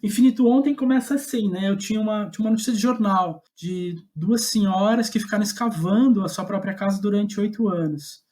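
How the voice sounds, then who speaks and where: A man's voice: high voice, a little mellow. A man with a Brazilian Portuguese accent, reflecting in a podcast interview as a guest playwright, searching for his words as he goes. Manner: halting, thoughtful, searching for words.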